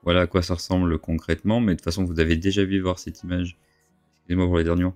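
A man in his thirties talks through a game in a deep, congested voice. He is casual and a little hesitant, thinking aloud as he goes. The close desk mic gives a very dry sound, with faint music underneath. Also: level tone, fast talker, a little mellow, dry recording. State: congested with a cold. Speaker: gaming video host. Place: indoor home streaming setup. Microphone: large desk microphone, close.

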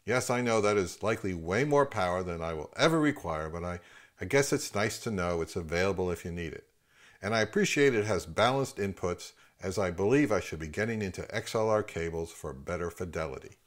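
A man's voice: deep voice, expressive, fairly quick. A man in his fifties giving scripted commentary on products as the host of a review channel.

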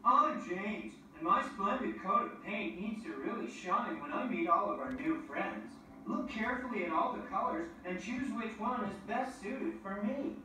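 A woman's voice: medium pitch, lively intonation, normal speed, sharp tone. A woman voicing a proud, vain male engine who likes to show off. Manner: proud and showy. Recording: echoey and distant, with background noise.

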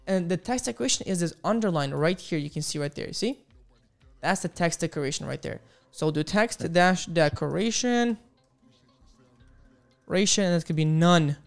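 A man's voice: lively intonation, normal speed, gravelly. A young man teaching coding live on stream, upbeat and exclamatory, chatting with viewers as he types. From Ukraine. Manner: upbeat, exclamatory, keeps saying boom and beautiful. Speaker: coding instructor on livestream. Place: small home studio. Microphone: studio mic on arm with headphones.

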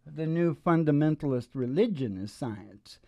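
An older man chatting casually as a show host and singer, in a halting, opinionated, wry manner. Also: on the high side, lively intonation, gravelly, mellow, dry recording, clean studio sound. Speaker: host and singer of a father-daughter music podcast. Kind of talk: casual rambling chat between songs. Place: small dim curtained stage indoors. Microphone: microphone on a stand, close to mouth. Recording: dull lo-fi live sound with acoustic guitar. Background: acoustic guitar music.

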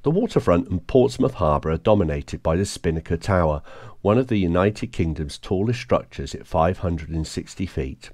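Male vlogger, very low voice, steady informative voice-over narration for a travel series. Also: normal speed, dry recording, clean studio sound. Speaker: vlogger narrating a motorbike tour.

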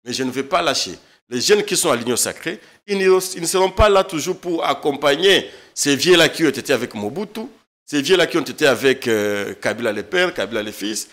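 A man's voice: medium pitch, expressive, a little fast, fairly bright tone. A man in his thirties from eastern Congo, a lawyer speaking as a studio guest, emphatic and impassioned, often repeating words. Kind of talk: studio political interview. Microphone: desk microphone.

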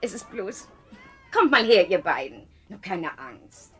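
An actress playing a dutiful, lively young woman who helps at a children's home, coaxing, in an audio play. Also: medium pitch, unhurried, slight background noise. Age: young adult.

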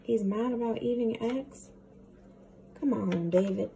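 A young woman teacher reading a storybook aloud to children, with playful, expressive comments. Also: a little high, a little slow, vocal fry, slight background noise. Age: young adult.

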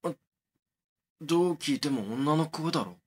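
A man playing an uneasy young man in a horror audio drama, muttering hesitantly to himself in a husky, breathy voice. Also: a little high, normal speed. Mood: alarmed, uneasy. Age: young adult. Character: uneasy young man examining a mysterious tape.

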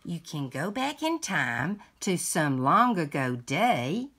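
Slow, lively older woman reading to children. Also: deep voice, breathy edge. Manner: deliberate word-by-word delivery for young children. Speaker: read-along host. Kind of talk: children's picture book read-aloud. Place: indoors at home.

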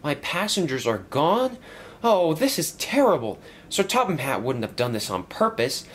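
Brief: man voicing an old worried storybook steam engine, pitched high, lively. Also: moderate pace, slight background noise. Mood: anxious. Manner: anxious, earnest.

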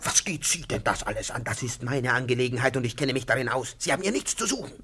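An actor in a 1950s radio play playing a fussy, excitable aging professor, over-polite and halting, then imperious. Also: male voice, medium pitch, breathy edge. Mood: irritated. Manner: obsequious and halting, turning imperious. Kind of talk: 1950s German-language radio play acting.

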